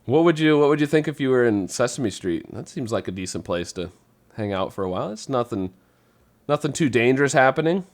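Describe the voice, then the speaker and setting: A man's voice: mid-range voice, animated, fairly quick. Middle-aged male podcast host, mid-range voice, informal and conversational, chatting among a group. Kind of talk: casual round-table chat.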